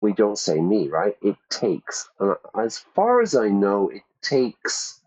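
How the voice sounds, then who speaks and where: A man's voice: lowish voice, wildly swooping pitch, slow, darkish tone, slightly rough, rough recording. A man teaching English to learners, reading out quiz questions and repeating the answer options. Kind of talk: ESL quiz lesson.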